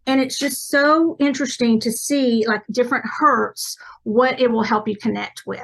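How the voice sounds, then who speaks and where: Guest: chatty East Texas woman, somewhat high voice, rambling, self-correcting talk. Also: fairly even intonation, moderate pace, gravelly, poor audio. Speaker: podcast guest, psychic medium. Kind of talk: casual interview chat.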